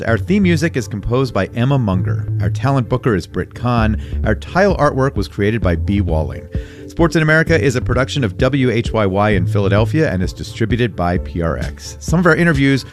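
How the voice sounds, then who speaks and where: A man hosting a sports podcast, reading the show's narration and credits in a lively, conversational way. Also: medium pitch. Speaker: podcast host. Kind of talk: scripted show narration and credits.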